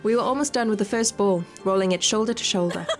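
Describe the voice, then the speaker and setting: A woman's voice: medium pitch, fast. A woman doing a visual novel playthrough, reading the text aloud expressively and adding playful asides. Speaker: gaming video creator. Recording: music behind the voice. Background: game music.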